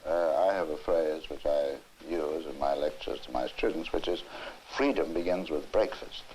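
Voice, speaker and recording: A man speaking as a lecturer in an earnest interview, emphatic but halting, often repeating words as he goes. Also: rumbling low, darkish tone. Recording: hissy, noisy 1960s film soundtrack.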